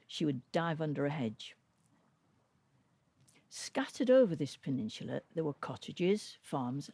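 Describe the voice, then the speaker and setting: A woman reading a traditional folk tale aloud as a storyteller, with dramatic, deliberate phrasing. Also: low voice, mellow, breathy edge, no echo.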